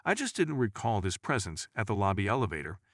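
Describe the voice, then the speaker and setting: Low-voiced man narrating, lively intonation. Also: fast, no room sound, studio quality. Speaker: narrator of paranormal stories. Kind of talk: scripted first-person story narration.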